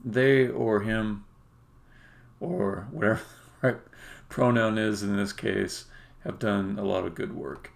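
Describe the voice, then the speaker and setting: A man who develops indie games, talking casually and haltingly as he thinks aloud through a live-stream demo. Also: on the low side, vocal fry.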